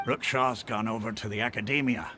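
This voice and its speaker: A man playing an easygoing, seasoned mercenary chief in a video game, gravelly and measured, as if confiding. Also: lowish voice, fairly even intonation, bright, forceful tone, faint music behind. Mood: measured, informative.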